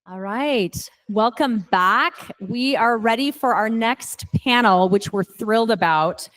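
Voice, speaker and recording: A woman's voice: a little high, slow, fairly bright tone. An American woman emceeing a conference and introducing the next panel with enthusiasm. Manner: upbeat and enthusiastic. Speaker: event emcee. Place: conference hall.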